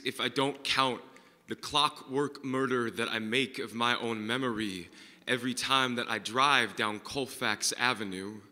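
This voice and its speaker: An American man in his twenties performing spoken-word poetry, with deliberate, dramatic phrasing and pauses. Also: medium pitch, pushed and bright, in a large hall. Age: 20s. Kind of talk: performed slam poetry recital.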